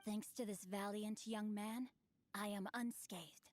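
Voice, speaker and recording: A woman's voice: medium pitch, steady tone, unhurried, darkish tone, lightly acted. A woman voicing a bookish, witty young male swordsman heir in a game, speaking in a formal, archaic and wry manner. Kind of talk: game dialogue.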